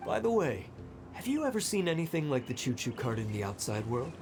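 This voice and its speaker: A man's voice: medium pitch, very animated, brisk. A man voicing a friendly, chatty cart ride operator in a video game, with an inviting manner. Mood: friendly, curious. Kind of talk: English video game voice acting.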